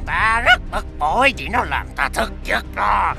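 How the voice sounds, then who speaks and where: A man's voice: high voice, very animated, a little slow, creaky, over loud music, heavily acted. A man voicing a vengeful guardian beast in a cartoon, growling, aggrieved and eager for a fight.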